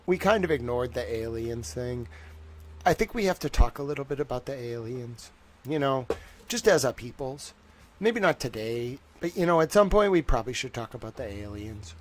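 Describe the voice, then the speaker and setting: Man, livestream host, tired voice, casual rambling chat, average pitch. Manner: chatty, loose, greets chat viewers.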